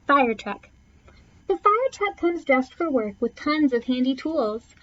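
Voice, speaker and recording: Female storybook reader, high voice with very lively swings, expressive rhyming read-aloud, slightly muffled lo-fi recording. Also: unhurried. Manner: theatrical phrasing, stretches and punches key words. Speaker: teacher reading a picture book aloud. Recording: dull, lo-fi sound.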